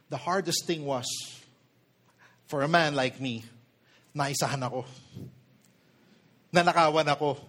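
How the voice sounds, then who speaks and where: A man's voice: on the high side, fairly bright tone. A man in his forties with a Filipino accent, delivering an emphatic sermon and putting questions to his listeners. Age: 40s. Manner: code-switches between English and Tagalog. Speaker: preacher.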